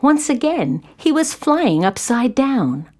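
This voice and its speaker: Woman narrating a kids story, expressive storyteller, pitch moves around a lot. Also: slow. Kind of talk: scripted children's story narration.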